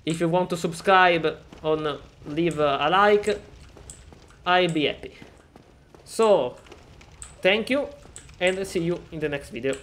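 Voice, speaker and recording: A man's voice: on the high side, slow pace, pauses often, a bit bright. A man from Italy speaking English with an Italian accent, talking through a game tutorial in a hesitant, stop-start way with lots of fillers. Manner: hesitant, many fillers and restarts, self-deprecating. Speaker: gaming tutorial maker. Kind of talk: casual screen-recorded tutorial.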